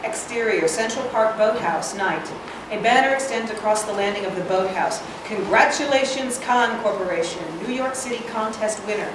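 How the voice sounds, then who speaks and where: Woman narrating a script, mid pitch, mic way off in a boomy room. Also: normal speed. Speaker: reader of stage directions. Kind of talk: scripted narration, screenplay reading. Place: indoor reading hall, recorded from far off. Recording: echoey room, distant mic, clear noise.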